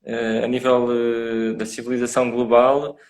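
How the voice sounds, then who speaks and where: A man's voice: medium pitch, completely flat delivery, unhurried, darkish tone. A man with a European Portuguese accent, a guest on a live online talk, speaking thoughtfully with frequent hesitant fillers. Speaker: guest, association president. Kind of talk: live video call interview. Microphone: video call.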